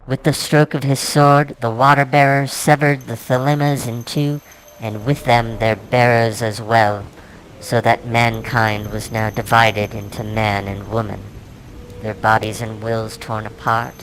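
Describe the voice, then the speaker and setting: A man reading his own fantasy novel aloud as a dramatic, solemn, measured narration. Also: mid-range voice, little pitch movement, a bit bright, a little hiss or hum, poor audio. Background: music soundtrack.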